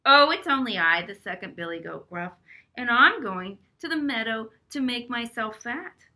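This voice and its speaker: A woman's voice: fairly high pitch, expressive, moderate pace, sharp tone, exaggerated voice. Middle billy goat, pleading, mid-sized voice, read by a woman. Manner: pleading, fuller than the smallest goat.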